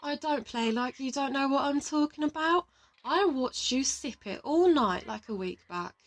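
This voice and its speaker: A woman's voice: high voice, animated, moderate pace. A woman playing a confident, teasing interrogator from an assassin team in an audio roleplay, affectionate and boastful. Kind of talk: audio roleplay addressed to the listener.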